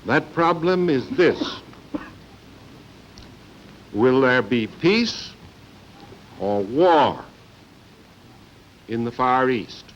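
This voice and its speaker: Dull old archive sound with faint noise carries an American man in his seventies. He delivers a formal speech very slowly and gravely, setting out one short phrase at a time. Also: medium pitch, big pitch swings. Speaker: chief diplomatic delegate. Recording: dull, noisy 1950 archive recording.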